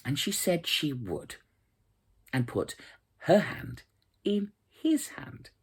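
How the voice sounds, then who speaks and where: Very expressive, unhurried storyteller with husky voice. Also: mid-range voice. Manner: expressive, dramatic, measured. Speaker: storyteller reading fairy tales aloud. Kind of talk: scripted storybook narration.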